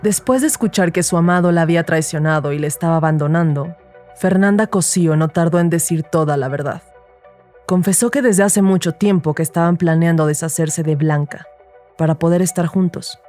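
A woman's voice: on the low side, a little fast, a little mellow, soft background music, lightly acted. A woman playing a rookie detective who narrates her case in a scripted crime audio drama. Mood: grave, measured.

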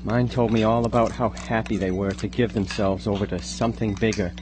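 A man's voice: lowish voice, fairly even intonation, brisk, lightly acted. A man playing an anxious, questioning sixteen-year-old small-town boy in a live radio drama. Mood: worried, questioning. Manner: worried, questioning. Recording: hum and loud background noise.

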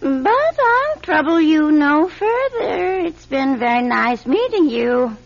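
An actress playing a nosy, prim, stubborn spinster neighbour in a radio drama, with fussy, affectedly genteel manners. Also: female voice, high voice, sing-song, slow pace, creaky, background noise, exaggerated voice. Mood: smug, knowing. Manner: fussy, mannered, flustered stammer giving way to pointed formality. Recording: dull, lo-fi radio drama recording.

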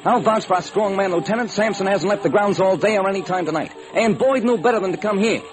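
A man's voice: high voice, quick pace, over music, close to an everyday voice. A man playing a firm, protective circus manager in an old-time radio crime drama. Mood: firm, indignant. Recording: noisy vintage radio recording.

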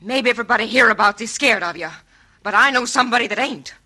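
An actress playing a fierce, outraged frontier lawman's wife in an old radio western, shrill and accusing. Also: fast, pushed and bright.

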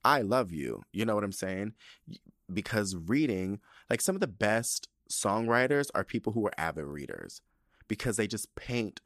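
A man in his thirties talks through his podcast in a loose, rambling style, swearing freely. His low voice swings widely up and down. The sound is dry and close, with no room around it. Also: moderate pace, no room sound. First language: not Spanish. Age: 30s. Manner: animated and profane, with loose, rambling asides. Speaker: podcast host. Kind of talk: casual solo podcast talk.

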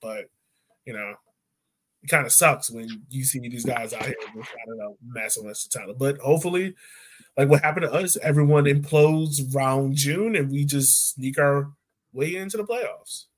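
A man with a medium-pitched voice hosts a casual sports chat. He talks informally in the role of a podcast host. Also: normal speed.

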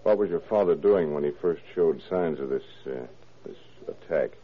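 An actor playing a gruff, probing police detective with clipped, dryly sarcastic delivery in a 1940s radio crime drama. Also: male voice, very deep voice, moderate pace. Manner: clipped, commanding, questioning. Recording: muffled, band-limited old radio recording.

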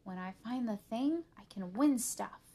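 A woman voicing an eager, anxious schoolboy in a children's storybook, pleading and excitable. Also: on the high side, measured pace, breathy edge, exaggerated voice.

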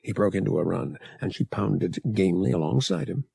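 Gravelly, expressive man narrating an adventure audiobook. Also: low voice, a little fast, dry recording, studio quality. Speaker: audiobook reader. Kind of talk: dramatic scripted narration of an adventure novel.

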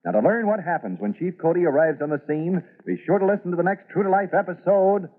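A man's voice: high-pitched, animated, quick pace. An American man narrating an old-time radio serial as its announcer, in a dramatic scripted style. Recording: phone-like vintage radio recording.

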